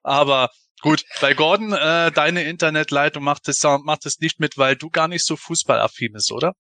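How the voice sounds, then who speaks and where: Bright-voiced man hosting casually. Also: fairly high pitch, moderate pace, no room sound. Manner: chatty, bantering. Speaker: podcast host. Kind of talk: casual live fan podcast talk.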